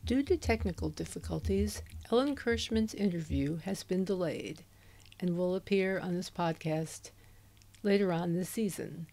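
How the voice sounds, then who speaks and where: A woman hosting a crime fiction podcast, reading announcements in a clear, measured way. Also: on the low side, mellow, low-quality recording. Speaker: podcast host. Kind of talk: scripted announcement. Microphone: handheld dynamic USB mic.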